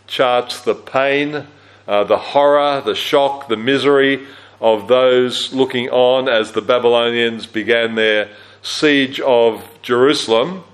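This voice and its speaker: A man with a low-register voice leads a church camp Bible study in a relaxed, conversational way. He fills pauses with "uh" and corrects himself as he goes. His pitch sits in the middle range. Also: poor audio. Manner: conversational, with frequent fillers and self-corrections. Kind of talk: teaching a Bible study talk.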